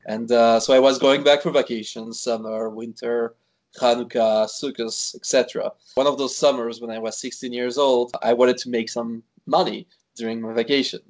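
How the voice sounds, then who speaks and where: A man's voice: mid-range voice, steady tone, moderate pace. Chatty Swiss-born man, full of fillers. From Switzerland. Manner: chatty, many fillers and restarts. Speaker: wine expert guest. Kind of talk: conversational interview.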